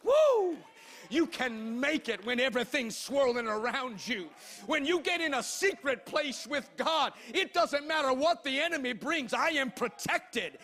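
High-pitched, bright-voiced male preacher. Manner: emphatic, declamatory, with drawn-out phrases. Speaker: pastor. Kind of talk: impassioned sermon.

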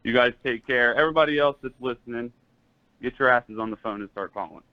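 A man's voice: medium pitch, fairly quick, no echo. A man calling in to a sports show, chatting casually over a lo-fi line. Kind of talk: casual chat. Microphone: remote call-in line. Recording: dull, lo-fi call audio.

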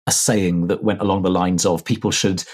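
Man, very deep voice, husky, measured interviewer. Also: level tone. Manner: measured, hedging. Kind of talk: conversational interview.

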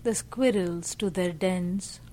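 A woman leading a guided meditation as a teacher, speaking gently in short, measured phrases with pauses. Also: fairly low pitch, slight background noise. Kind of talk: slow guided meditation narration.

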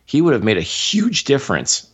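A man's voice: medium pitch, huge pitch swings, normal speed, husky, highs cut off. A man from Montreal co-hosting a casual sports podcast, talking at length with opinionated, analytical takes on football. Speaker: podcast co-host.

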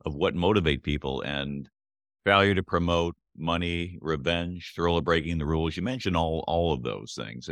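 A male podcast host with a very low voice reflects on the guest's story and runs through points one after another. The recording is dry, with no room sound. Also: fairly bright tone, no room sound. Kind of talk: interview commentary.

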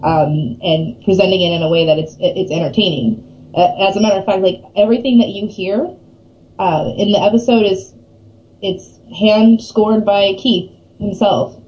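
Thirtyish woman guest, reflective, mid-pitched, slightly dull audio. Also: moderate pace, darkish tone. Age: 30s. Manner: thoughtful, rephrases herself. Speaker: guest, paranormal investigator. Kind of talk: interview answers.

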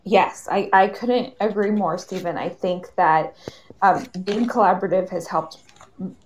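A woman hosting a live panel discussion, giving measured introductions and questions with pauses as she goes. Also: mid-range voice, slight background noise. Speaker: panel moderator and writer.

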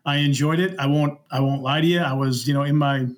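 A middle-aged American man reminiscing casually as a guest, haltingly, often saying "you know". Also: on the high side, fairly even intonation. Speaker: interview guest.

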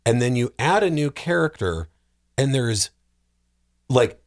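A man chatting casually as a podcast panelist about films, animated and often acting out imagined reactions. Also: mid-range voice, a little slow. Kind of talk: casual movie discussion.

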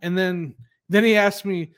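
A man co-hosting a casual podcast, laughing often and chiming in with quick agreement. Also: sits high, steady tone. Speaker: podcast co-host. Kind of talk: casual chat.

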